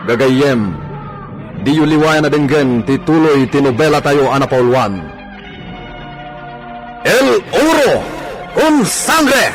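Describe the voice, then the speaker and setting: A man's voice: a little high, very animated, slow, long pauses. A man announcing and narrating a radio drama serial in a scripted, dramatic delivery. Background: music.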